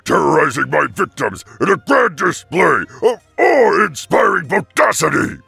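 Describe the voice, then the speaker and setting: A giant turtle-dragon tyrant for a cartoon. The voice is gravelly and forceful, leaping across a huge range. He is bombastic, vain and short-tempered, theatrical in every phrase. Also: on the high side, very animated, measured pace, no room sound, soft background music, exaggerated voice. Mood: blustering, irritated. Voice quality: gravelly, raspy. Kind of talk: cartoon fan-dub acting.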